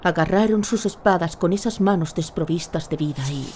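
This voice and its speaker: A woman narrating a scripted audio drama in a measured, dramatic storytelling manner. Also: lowish voice, darkish tone, slight background noise.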